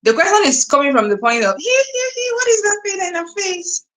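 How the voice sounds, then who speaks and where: Nigerian woman live streaming, bright clear voice, rambly with lots of ums and repeats. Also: extremely high pitch, very animated, fairly quick. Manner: chatty, repeats herself, many fillers and restarts. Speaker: livestream host. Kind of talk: casual live stream chat reading viewer comments.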